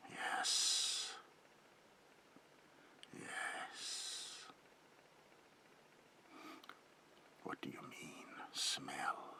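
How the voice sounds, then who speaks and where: A man voicing an eerie, courteous old vampire baron in a breathy gravelly whisper for an ASMR horror roleplay. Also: extremely low pitch, bright, forceful tone, exaggerated voice. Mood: quietly menacing. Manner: slow, theatrical, lingering whisper with archaic politeness.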